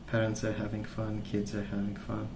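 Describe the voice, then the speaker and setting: A man speaking softly as an ASMR host, rambling through stories in a hesitant, pausing, unhurried way. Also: lowish voice, monotone, gravelly, background noise. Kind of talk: soft-spoken ASMR rambling.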